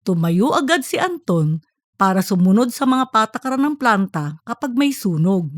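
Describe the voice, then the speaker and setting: A woman's voice: medium pitch, very animated, normal speed, no echo, studio quality. A woman narrating a fictional story from a script, like an audiobook.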